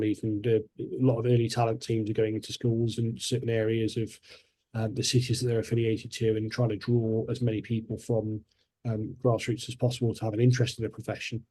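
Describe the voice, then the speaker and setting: A man's voice: on the low side, warm tone. A man on a panel, talking in a rambling way with many restarts and fillers. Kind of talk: panel discussion.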